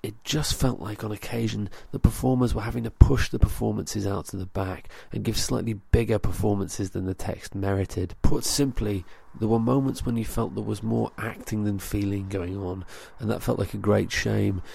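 A man delivering a scripted theatre review for a podcast in a measured, articulate, earnest way. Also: lowish voice, fairly even intonation, creaky, mellow, breathy edge.

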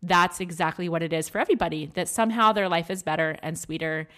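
Podcast lady, slightly raspy hoarse voice, kinda low, chatty and laughy. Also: fast, a bit bright. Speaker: podcast co-host. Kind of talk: casual chat.

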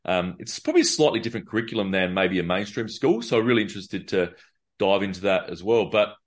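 Male host, lowish voice, halting and filler-heavy interviewer. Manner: hesitant, many fillers. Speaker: podcast host. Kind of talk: interview conversation.

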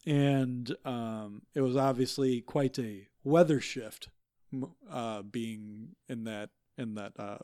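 A man's voice: mid-range voice, mellow, dry recording, studio quality, lightly acted. An actor playing a flustered male guest expert who halts and restarts constantly in an improvised comedy podcast. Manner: halting, full of fillers and restarts.

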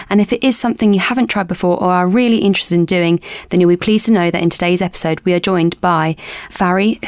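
A woman's voice: medium pitch, dry recording, studio quality. A woman hosting a university podcast, reading a scripted welcome and then chatting with a guest in an upbeat, encouraging way. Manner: upbeat and encouraging, reacting with enthusiasm. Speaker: podcast host. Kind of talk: scripted introduction then casual interview.

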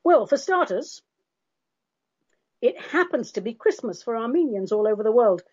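A woman's voice: fairly high pitch, sing-song, normal speed, a little mellow, slightly rough. London woman, podcast host, theatrical and sardonic, calls listeners darlings; lo-fi recording. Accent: London English. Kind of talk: monologue podcast.

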